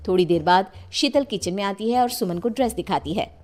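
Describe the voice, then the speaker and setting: A woman's voice: medium pitch, fairly quick, a little mellow. A woman narrating a family story as scripted storytelling.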